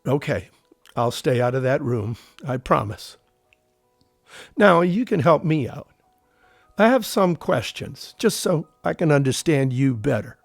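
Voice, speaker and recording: A man's voice: medium pitch, very animated, a little slow, gravelly, no room sound, soft background music, exaggerated voice. Casting: male ex-prisoner of war for audio drama, uneasy, curious, everyday middle register, conversational and unpolished. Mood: curious, friendly. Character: grown war veteran, curious, uneasy, haunted by captivity.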